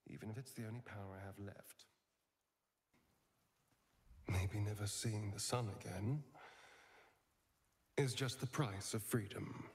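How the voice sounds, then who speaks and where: A man's voice: fairly low pitch, a little slow, long pauses, breathy edge. An actor playing a charming, wounded male vampire spawn in a video game, with a theatrical, drawling, wry delivery. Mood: bitter, wistful.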